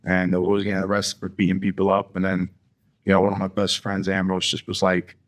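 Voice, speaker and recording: Give me a blunt, low-voiced man, a fighter talking. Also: monotone, quick pace. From Greenwich, Connecticut. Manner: blunt, swears, many restarts and fillers. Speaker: guest, professional fighter. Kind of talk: casual interview talk.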